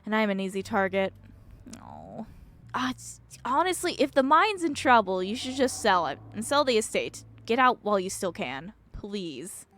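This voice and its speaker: A woman's voice: a little high, very animated, normal speed, a bit bright. A woman streaming a game, chatting casually and reacting informally while reading dialogue aloud.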